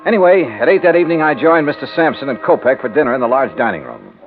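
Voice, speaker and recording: An actor plays a cool, laconic American male investigator narrating his own case in fifties radio crime style. His delivery is brisk and animated in pitch. The recording is tinny and band-limited, with music clearly behind him. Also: lightly acted. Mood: measured narrating. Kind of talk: 1950s radio crime drama acting.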